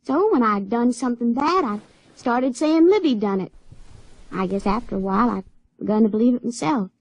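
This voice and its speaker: A woman's voice: a little high, a little fast, no echo. An actress playing a naive, wilful young backwoods girl with a rural Southern American accent, folksy and guileless, in a radio drama. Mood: earnest. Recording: dull, dry vintage radio recording.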